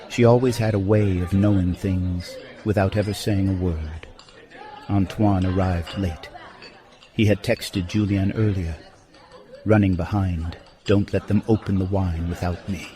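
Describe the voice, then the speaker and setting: A man narrating a literary romance in a measured, descriptive storytelling manner. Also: sits low, fairly even intonation, mellow, a faint crowd. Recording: faint music behind.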